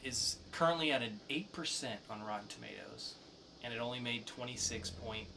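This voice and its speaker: A man's voice: mid-range voice, moderate pace, gravelly, background noise. A man co-hosting a podcast, talking casually through pop-culture news. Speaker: podcast co-host.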